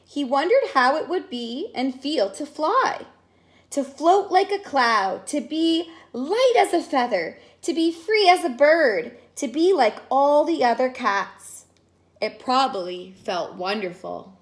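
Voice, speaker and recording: High-pitched, animated woman reading to children. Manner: expressive, sing-song, exclaiming, drawn-out words. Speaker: teacher reading a picture book aloud. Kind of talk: animated children's story read-aloud with asides to listeners.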